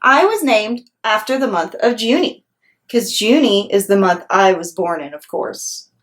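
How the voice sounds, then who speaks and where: A woman voicing a chatty, excitable six-year-old girl telling her storybook tale in an emphatic, blunt, childlike way. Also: medium pitch, a little slow, lightly acted. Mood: excited, proud. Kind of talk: children's storybook read-aloud.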